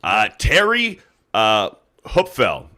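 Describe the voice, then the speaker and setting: A man hosts a casual livestream, reading donor messages and giving blunt advice. His voice sits on the low side yet comes through bright and pushy. He talks loosely and swears now and then. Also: wildly swooping pitch, slow. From United States. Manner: loose, swearing, reads donations aloud. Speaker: livestream host. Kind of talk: casual livestream chat, reading super chats.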